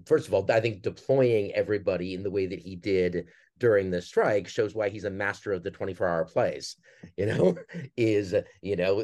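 A man's voice: deep voice, darkish tone. A middle-aged man with a Minnesota accent, talking earnestly and reflectively as an interview guest. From Minnesota, United States. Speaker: podcast guest, theater director. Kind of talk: conversational interview.

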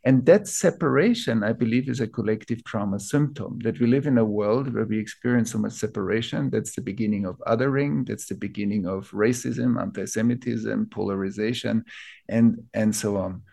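A man from Austria with an Austrian accent, a native German speaker, explaining ideas reflectively as an interview guest, building his thoughts in short, halting phrases. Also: on the low side, creaky, dark tone. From Vienna, Austria. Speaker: interview guest, teacher of collective trauma healing.

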